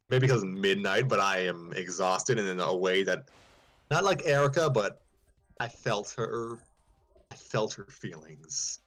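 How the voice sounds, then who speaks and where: A man's voice: lowish voice, expressive, slow pace, long pauses, a bit bright. A man hosting a casual podcast discussion about a story. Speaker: podcast host.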